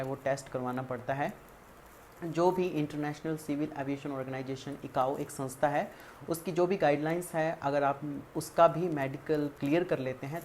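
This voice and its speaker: A woman's voice: low voice, a little mellow. An Indian woman presenting a career guidance tutorial, explaining methodically point by point and mixing in English terms. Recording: clearly audible background ambient noise.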